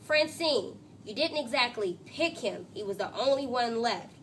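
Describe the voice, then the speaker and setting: A woman's voice: fairly high pitch, expressive, a little slow, fairly bright tone, recorded from a distance, a little hiss or hum. A woman reading a storybook, voicing an earnest, fair-minded, slightly hesitant young schoolboy who reasons things out.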